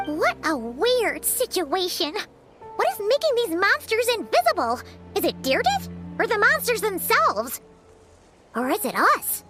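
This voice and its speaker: A woman voicing a small, childlike fairy companion in a video game, perky, excitable and protective, with an exclamatory way of talking. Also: very high-pitched, unhurried, heavily acted. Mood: puzzled, worried. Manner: refers to self in third person, exclamatory. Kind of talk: animated video game voice acting. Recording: music behind the voice.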